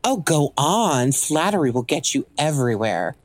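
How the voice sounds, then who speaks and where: A woman's voice: low-pitched, big pitch swings, normal speed, dry recording. A woman acting in an audio drama as a cocky legendary assassin and thief for hire, with smug, theatrical, drawling taunts. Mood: playful, boastful.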